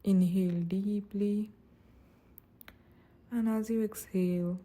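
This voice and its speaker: A woman guiding a meditation in a soft, breathy, soothing and gentle voice, speaking without hurry. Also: mid-range voice, steady tone, slow. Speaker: meditation guide. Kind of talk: guided meditation narration.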